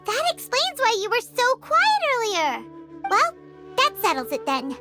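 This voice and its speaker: Tiny fretful anime game fairy girl, super high squeaky voice, loud music behind. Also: wildly swooping pitch, measured pace, full character voice. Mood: thoughtful. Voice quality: squeaky, childlike. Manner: refers to self in third person, exclamatory. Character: small floating fairy-like girl companion, excitable and fretful. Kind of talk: anime video game voice acting.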